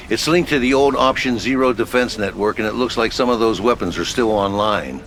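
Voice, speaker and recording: Man acting a brisk headquarters briefing officer. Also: medium pitch, fairly bright tone, natural voice. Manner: matter-of-fact, colloquial military. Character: brisk, wry male headquarters operations officer. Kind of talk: video game mission briefing.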